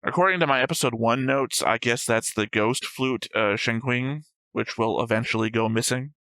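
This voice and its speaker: A man's voice: medium pitch, normal speed, bright, forceful tone, dry recording. A man co-hosting a reaction show, chatting casually in a blunt, argumentative, sweary way. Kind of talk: casual discussion of an anime episode.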